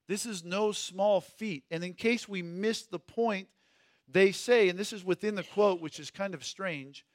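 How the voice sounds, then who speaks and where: A man's voice: high-pitched, a little slow, no echo, studio quality. A man teaching a Bible study in a conversational way, stressing key words and putting questions to his group.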